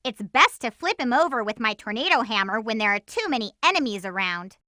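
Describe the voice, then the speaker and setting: A woman voicing an energetic, determined young girl heroine in a video game, with a perky, emphatic cartoon delivery. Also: on the high side, a little fast, no echo, studio quality. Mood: instructive, perky. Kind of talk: video game voice acting, tips and battle shouts.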